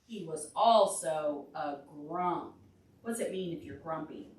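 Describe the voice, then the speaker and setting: A woman reading aloud to young children as a storytime librarian, pausing to put questions to them. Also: low voice. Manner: asks the children questions along the way. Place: indoor library storytime room. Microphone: distant room microphone. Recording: echoey room, distant mic, faint noise.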